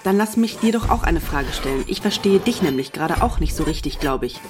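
A woman's voice: lowish voice, lively intonation, brisk, music in the background, natural voice. An actress playing a guarded, troubled woman in a radio play, coolly logical, literal and detached as she questions. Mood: guarded, challenging. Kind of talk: German radio play dialogue. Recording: clearly noisy background with clicks.

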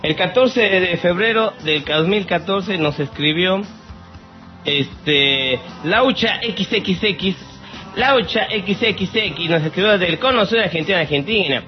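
A Mexican man with a fairly high voice hosts a casual listener Q&A podcast. He talks in a playful, theatrical manner. The sound is slightly dull and lo-fi, with soft music playing behind him. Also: animated, measured pace. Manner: theatrical, mock-exasperated, playful. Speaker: podcast host.

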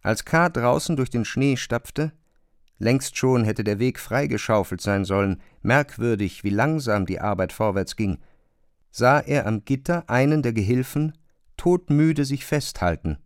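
A man's voice: mid-range voice, lively intonation, measured pace, no room sound, clean studio sound. A man narrating a literary radio play in German, as the storyteller between the acted scenes.

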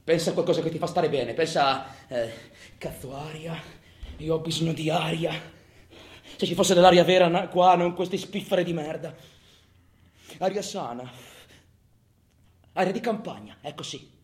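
A man's voice: fairly high pitch, normal speed, long pauses, darkish tone, close to an everyday voice. Actor playing a tormented, volatile man in echoey drama. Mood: anguished. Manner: foul-mouthed, muttering, abrupt swings between murmur and outburst. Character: tormented, volatile criminal man, taunting and cursing himself. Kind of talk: theatrical monologue, radio stage drama. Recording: roomy echo, faint background noise.

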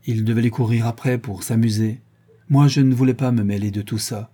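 Timid servant woman, read by a man, hesitant and soft, dark husky tone. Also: medium pitch, steady tone, fairly quick, natural voice. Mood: subdued. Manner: hesitant, subdued, answers briefly.

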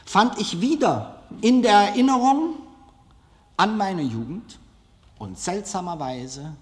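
A middle-aged man giving an anecdotal public lecture as a film director, speaking deliberately and rhetorically with dramatic pauses. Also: sits high, animated. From Germany. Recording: reverberant lecture hall.

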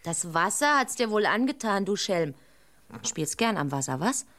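An actress playing an earnest, tender-hearted young woman in a radio play, expressive and talking half to herself. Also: mid-range voice, normal speed, no room sound. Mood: tender, fond.

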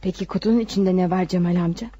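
Fast-talking, bossy married daughter. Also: female voice, fairly low pitch, steady tone, mellow, slightly rough. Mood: brisk, needling. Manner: commanding, needling. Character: bossy, sharp-tongued married daughter, possessive of her mother. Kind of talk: radio drama acting. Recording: dull lo-fi radio drama recording.

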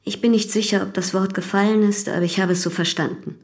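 Actress as a composed, defiant young widow. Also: female voice, medium pitch, fairly even intonation, quick pace, a little mellow, natural voice. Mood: composed, matter-of-fact. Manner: composed, unashamed. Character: calm, defiant young widow in love, under questioning. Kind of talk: German radio drama acting.

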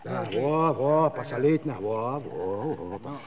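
A man's voice: mid-range voice, animated, slow, over a noisy crowd. An actor playing a bossy, grumbling Cossack man, folksy and scolding, in a comic radio play. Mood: bossy, exasperated.

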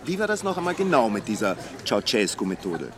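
An actor playing an eager, self-important small-town reporter with an Austrian accent in a radio drama, gushing and pompous. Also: male voice, lowish voice, sing-song, brisk, slight background noise. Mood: pleased, chatty. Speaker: amateur local video reporter.

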